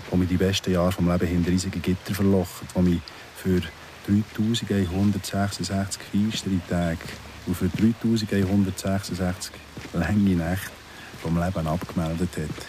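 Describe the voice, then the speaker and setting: An actor with a Swiss German accent playing a bitter ex-convict in his thirties in a radio play, halting, repetitive and sardonic. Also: sits low, a little hiss or hum, close to an everyday voice. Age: 30s. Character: brooding ex-convict in his thirties, embittered, obsessed with justice. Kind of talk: radio play dialogue and monologue.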